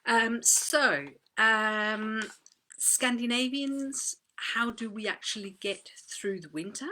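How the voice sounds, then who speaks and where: A woman from Denmark giving a relaxed, chatty live video talk from a small home office, with many fillers and restarts. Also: on the high side, slow, a little hiss or hum, low-quality recording. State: tired. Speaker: host of an online community talk.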